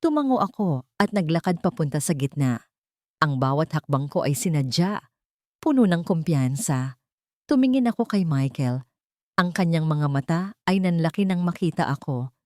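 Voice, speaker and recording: A Filipino woman narrates a dramatic first-person story in an audiobook style. Her voice sits on the low side, and the sound is very dry, with no room around it. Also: animated, moderate pace, a little mellow, dry recording.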